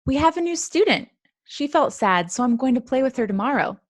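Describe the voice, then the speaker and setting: An earnest little mouse girl from a children's storybook, voiced by a woman. She speaks in a raised pitch and puts things simply, with sincere care for those around her. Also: big pitch swings, brisk, no room sound, studio quality. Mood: eager, curious. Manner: sincere, explaining simply to family. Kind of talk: children's storybook read-aloud.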